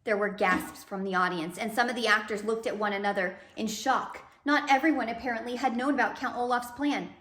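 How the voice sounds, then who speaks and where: Bright, echoey woman reading a storybook. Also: on the high side, a little fast, few pauses, a little hiss or hum. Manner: expressive, dramatic. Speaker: teacher reading a children's novel aloud. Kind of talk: animated storybook read-aloud.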